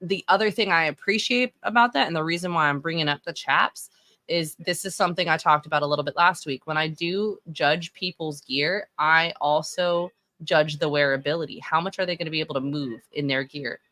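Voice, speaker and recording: A woman's voice: low voice, fairly quick, pushed and bright, dry recording. A woman in her thirties with a Texan accent, giving chatty, opinionated live-stream commentary and often correcting herself. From Texas. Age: 30s. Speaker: streamer reviewing wrestling fashion.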